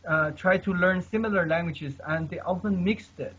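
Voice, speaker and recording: A man's voice: high voice, normal speed, a bit bright, breathy edge. A man, a polyglot, giving earnest advice on language learning in casual conversation, often tagging his sentences with "okay?" and many fillers. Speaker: guest polyglot. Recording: dull lo-fi recording.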